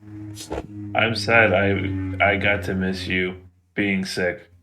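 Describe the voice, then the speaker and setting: A man's voice: deep voice, little pitch movement, extremely slow, over music, rough recording. A young man chatting casually and joking as a player in a tabletop game, laid-back and confident. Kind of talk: casual chat while playing a tabletop game.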